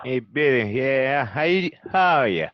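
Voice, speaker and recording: A man's voice: medium pitch, animated, measured pace, close to an everyday voice. An actor playing a touchy young New mobster, a man with a prickly, defensive manner, in a radio drama. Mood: guarded. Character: touchy, sharply dressed young male mobster. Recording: dull lo-fi home recording.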